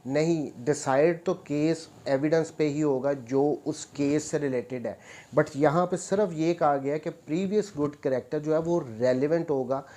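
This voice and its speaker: Pakistani man lecturing on law, mellow voice. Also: a little high, a little slow. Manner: repeats and rephrases points, mixes English terms into his speech. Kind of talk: explanatory law lecture, reading statutes aloud then explaining.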